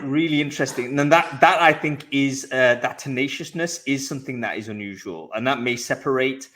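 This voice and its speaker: A man's voice: medium pitch, fairly bright tone. A man hosting an interview show, recapping his guest's advice in casual, enthusiastic chat with frequent fillers and restarts. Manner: enthusiastic, rephrases and sums up guest's points. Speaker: podcast host.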